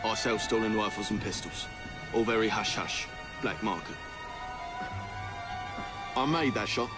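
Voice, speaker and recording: Actor as a plain-spoken ex-soldier, music behind. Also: male voice, lowish voice, measured pace, lightly acted. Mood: confessional. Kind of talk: video game cutscene dialogue.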